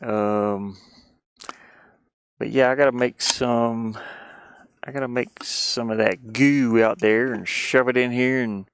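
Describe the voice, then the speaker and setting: A man chatting casually on a livestream, rambling and halting, often putting down his own efforts. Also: medium pitch, fairly even intonation, smooth, dry recording, studio quality. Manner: halting, rambling, self-deprecating. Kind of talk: casual livestream chat while sketching.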